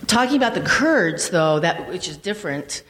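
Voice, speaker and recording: A woman's voice: lowish voice, sing-song, moderate pace, a bit bright. A woman sharing travel impressions in a casual group discussion, rambling and hesitant with many fillers. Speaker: trip panelist. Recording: reverberant hall.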